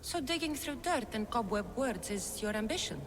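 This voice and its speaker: Adventurer heroine, game cutscene, expressive, noise behind her. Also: on the high side, moderate pace, long reverb tail. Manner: direct and inquisitive. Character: bold female adventurer, questioning and determined.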